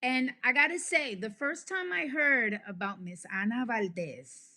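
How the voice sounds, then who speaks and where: A woman's voice: a little high, measured pace, bright, forceful tone. A woman hosting an interview podcast in a conversational, gushing and emphatic way. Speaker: podcast host.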